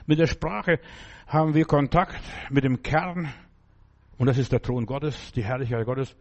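A man's voice: a little high, a little mellow, lo-fi sound. A man preaching a fervent, emphatic sermon in German, which is not his first language, repeating phrases for emphasis.

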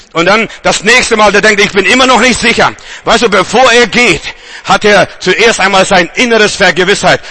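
A man's voice: sits high, pushed and bright. A man from Germany preaching a charismatic sermon with emphatic, repeated refrains.

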